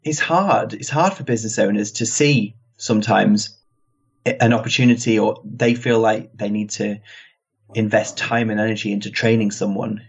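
Easygoing British man, low voice. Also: normal speed, breathy edge. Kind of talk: casual chat. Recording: dull lo-fi sound.

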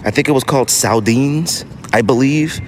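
A middle-aged American man hosting a casual solo podcast, telling stories in an animated, slangy, emphatic way. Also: mid-range voice, moderate pace, slight background noise. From United States. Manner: animated, slangy storytelling with mock voices for others; emphatic, with repetition for effect. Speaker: podcast host.

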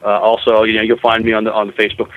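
A man co-hosting a casual science fiction podcast, speaking in a hesitant, halting way on a dull, lo-fi recording. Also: fairly low pitch, monotone. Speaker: podcast co-host. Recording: dull, lo-fi voice channel.

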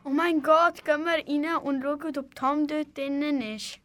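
In an amateur radio play, a young female performer voices a worried but practical girl. She has a high voice and a Swiss German accent. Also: steady tone, measured pace, fairly bright tone.

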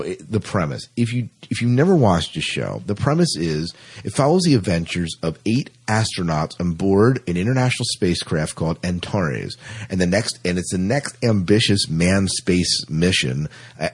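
A man's voice: low-pitched, slightly rough. An American man hosting a casual entertainment news podcast in an informal, conversational way. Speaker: podcast host.

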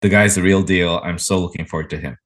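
Co-host, male, very deep voice, easygoing listener who asks questions. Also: steady tone, quick pace, fairly bright tone. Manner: brief listening replies and questions. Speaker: podcast co-host. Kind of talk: casual chat.

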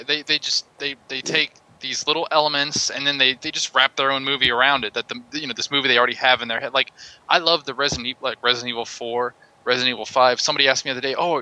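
A man on a podcast panel in a casual debate, ranting in an animated, mildly profane way. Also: medium pitch, quick pace, rough recording.

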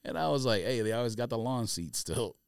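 A man's voice: mid-range voice, expressive, brisk, vocal fry, smooth, no room sound. A man co-hosting a podcast, chatting casually about sports in a slangy, conversational, storytelling way. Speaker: podcast co-host.

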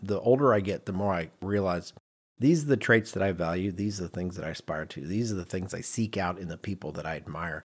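A man's voice: sits low, brisk, slightly rough. A middle-aged man hosting a podcast in casual discussion, acting out little imagined quotes as he explains. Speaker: podcast host.

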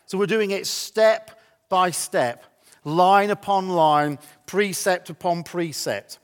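Male preacher, high-pitched with a light croak. Emphatic sermon delivery, deliberate, leaning on repetition. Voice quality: slightly croaky voice. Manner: emphatic, deliberate, repeats phrases for effect. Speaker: pastor. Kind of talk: church sermon.

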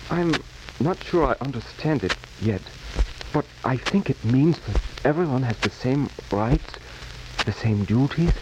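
An actor playing a modest, sincere schoolboy in 1940s radio style. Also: male voice, medium pitch, expressive, measured pace, close to an everyday voice. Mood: tentative, sincere. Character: modest, unsure schoolboy sincerely defining democracy. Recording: noisy, hissy 1943 radio recording.

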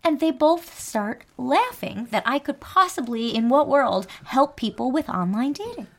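A woman from New Jersey, an online dating coach, telling a date story in casual chat with a light New Jersey accent and an animated, laughing delivery. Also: high-pitched, measured pace. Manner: animated, laughs often, acts out quotes.

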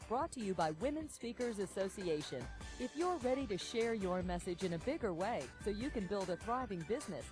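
A woman's voice: medium pitch, animated, brisk. A woman announcer reading a scripted podcast outro in an upbeat promotional way. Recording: voice over clear music.

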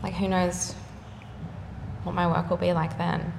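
A young woman, an artist chatting casually in an interview, full of fillers and quick laughs. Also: on the low side, little pitch movement, creaky. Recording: echoey room with faint noise.

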